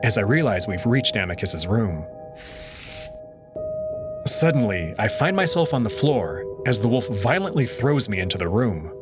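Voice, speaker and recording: A man's voice: lowish voice, sing-song, normal speed, over music. A man narrating a visual novel in the first person, reading it expressively and dramatically.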